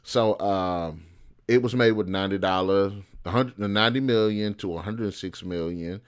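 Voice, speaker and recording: A man's voice: low voice, moderate pace, smooth. A man hosting casual, unscripted film commentary in a blunt, opinionated way, repeating phrases for emphasis. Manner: blunt and opinionated, with mild swearing. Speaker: host and commentator.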